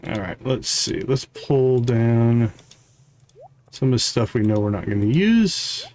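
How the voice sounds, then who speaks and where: A man's voice: mid-range voice, sing-song, slow pace, a little mellow, a little hiss or hum. A man hosting a live game stream, giving casual commentary and thinking aloud as he plays. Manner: thinks aloud while playing.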